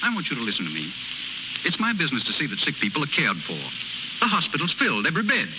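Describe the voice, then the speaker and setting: A man's voice: on the high side, wildly swooping pitch, quick pace. A man playing a kindly but firm young small-town doctor in a 1930s radio drama. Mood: firm, angry. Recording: noisy, muffled 1930s radio recording.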